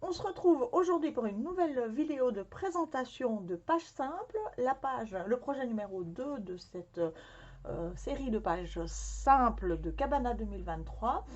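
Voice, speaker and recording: A woman's voice: on the high side, expressive, moderate pace, a little mellow. Hesitant woman demonstrating crafts. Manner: friendly, frequent hesitations with "euh". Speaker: scrapbooking workshop host. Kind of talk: casual product demonstration. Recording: slightly dull lo-fi sound.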